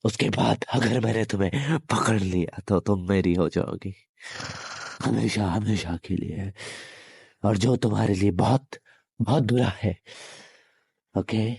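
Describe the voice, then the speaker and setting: A menacing demon in human form, voiced by a man, speaks low and very slowly in an intimate roleplay audio. He is possessive and taunting, with deliberate pauses and mock sympathy. The sound is close and dry. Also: a little mellow, no echo, exaggerated voice. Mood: possessive, threatening. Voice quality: deep, smooth.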